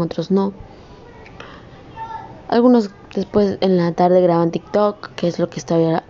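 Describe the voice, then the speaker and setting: A woman's voice: on the low side, warm tone, muffled recording, a little hiss or hum. A young woman, a communications student, talking in a rambling, earnest personal vlog that rises into emphatic scolding. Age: young adult.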